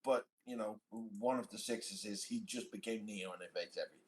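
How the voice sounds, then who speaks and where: A man chatting casually as a player in a tabletop game, halting and jokey. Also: lowish voice, slightly rough, poor audio.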